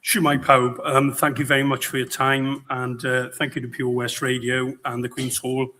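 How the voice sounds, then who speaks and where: A middle-aged man whose voice is hoarse and somewhat croaky. He speaks in a flat, monotone but bright tone, pausing on fillers and restarting phrases as he addresses a hustings in a public hall. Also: medium pitch. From Pembrokeshire, Wales. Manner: halting, with many fillers and restarts. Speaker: election candidate. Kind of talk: hustings speech.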